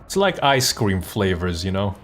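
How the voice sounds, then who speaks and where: Low-voiced man chatting casually on a livestream. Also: very animated, moderate pace, a bit bright, a little hiss or hum. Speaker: streamer and comic dub voice actor.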